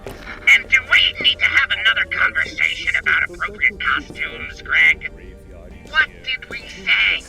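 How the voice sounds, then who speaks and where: A man's voice: sits high, very animated, unhurried, vocal fry, heavily acted. A man playing a snarky, heckling, penny-pinching sidekick in a campy audio comedy. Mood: scolding. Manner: heckling, sarcastic. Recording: loud music behind voice.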